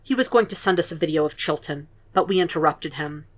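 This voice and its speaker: A woman's voice: deep voice, a little fast. A woman reading as a grim haunted man. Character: haunted male profiler, grim and tense.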